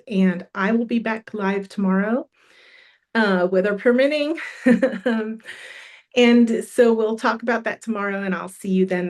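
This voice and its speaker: A middle-aged woman with a cold, losing her voice, hosts a live online summit in a friendly, encouraging way with many ums and restarts. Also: mid-range voice. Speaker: summit host. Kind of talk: live stream announcements.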